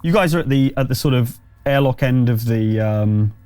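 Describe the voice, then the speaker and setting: A man running a tabletop horror game as game master, improvising descriptions in a halting, conversational way. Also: mid-range voice, dark tone, smooth, dry recording, faint music behind.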